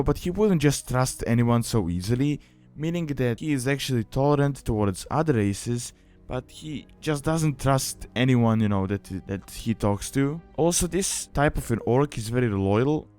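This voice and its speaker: A man's voice: medium pitch, darkish tone. A man giving a casual, conversational explanatory voice-over as the narrator of a guide, often saying "you know". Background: background music.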